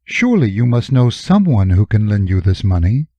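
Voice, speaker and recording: A man's voice: on the low side, sing-song, normal speed, mellow, no echo. A man voicing a male Phoenician pirate-merchant who haggles and fusses, earnest and dramatic. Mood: coaxing.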